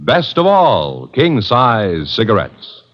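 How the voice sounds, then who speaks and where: A low-voiced male radio announcer with big swoops in intonation. He is formal and emphatic in 1950s style, over prominent music on a dull old recording. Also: wildly swooping pitch, slow.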